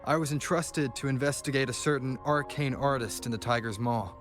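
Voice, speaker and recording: An actor playing a formal man calmly giving his account, measured and polite. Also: mid-range voice, soft background music, lightly acted. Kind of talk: game cutscene dialogue.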